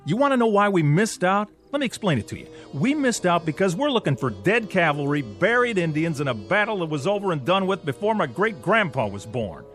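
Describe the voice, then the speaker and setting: A scoffing, blustering soldier in an audio drama, with a fairly high voice. His tone swings widely and lively through each line. Faint music plays underneath. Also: a little fast, hardly any pauses. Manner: sarcastic, blustering. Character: skeptical wisecracking male army soldier. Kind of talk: radio drama acting.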